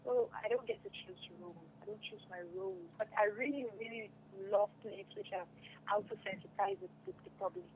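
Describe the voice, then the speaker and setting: A woman's voice: medium pitch, brisk, dull audio. A young woman answering casually in an interview, repeating phrases for emphasis. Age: young adult. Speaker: guest, stage and radio drama actress. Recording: noticeable background noise.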